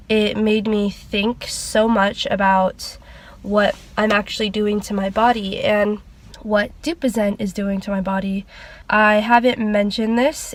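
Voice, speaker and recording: A young woman vlogger with a congested, nasal voice from a cold, chatting casually at a loose, rambling pace with lots of fillers. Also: medium pitch. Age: young adult. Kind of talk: casual sit-down chat.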